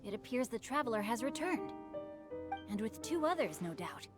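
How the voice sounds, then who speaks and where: A woman playing an elegant, self-assured noblewoman, formal and measured, in a video game cutscene. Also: a little high, sing-song, over music. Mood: poised, amused.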